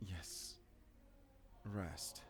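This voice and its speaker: A man playing a gravely wounded, stubborn monster hunter, speaking in terse, faint lines as if dying, in an audio roleplay. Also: deep voice, fairly even intonation, drawn-out words, whispered, full character voice. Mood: exhausted. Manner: terse, resolute, weak. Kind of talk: cinematic audio roleplay acting.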